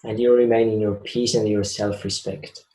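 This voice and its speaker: A man's voice: lowish voice, level tone, moderate pace, dark tone, echoey room, rough recording. A man with a Swiss accent giving a reflective spiritual talk, earnest and repeating phrases for emphasis. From Switzerland. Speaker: spiritual speaker.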